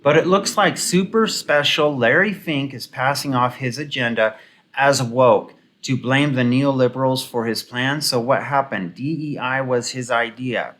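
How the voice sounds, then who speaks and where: A man's voice: mid-range voice, a bit bright. Opinionated man rambling through political commentary. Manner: opinionated, halting, rambling asides. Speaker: host of a political commentary video. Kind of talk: casual off-the-cuff commentary on news and online comments.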